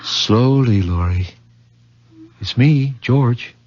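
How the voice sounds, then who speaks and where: Husky, earnest young fiance. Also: male voice, mid-range voice, drawn-out words, a little mellow. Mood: soothing. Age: young adult. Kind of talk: 1970s radio drama acting. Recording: muffled 1970s radio drama recording.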